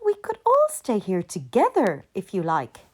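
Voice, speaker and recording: A woman's voice: mid-range voice, wildly swooping pitch, measured pace, breathy edge, exaggerated voice. A woman voicing a friendly, sensible female rabbit in a children's story, with a welcoming manner. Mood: friendly, matter-of-fact.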